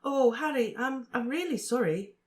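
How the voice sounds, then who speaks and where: A reader voicing a gentle teenage girl who speaks softly and hesitantly, apologising. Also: sits high, moderate pace, pitched above the performer's own voice, close to an everyday voice. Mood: apologetic.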